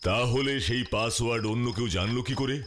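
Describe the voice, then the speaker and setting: A man voicing a calm, serious superhero detective in a cartoon, measured and questioning. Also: medium pitch, noisy background, natural voice. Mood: probing. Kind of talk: cartoon voice acting. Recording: loud music behind the voice.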